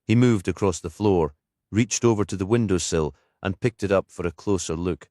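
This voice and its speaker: A man narrates a crime mystery audiobook from a script. His voice sits low, and the recording is very dry with no room sound. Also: normal speed, warm tone, dry recording.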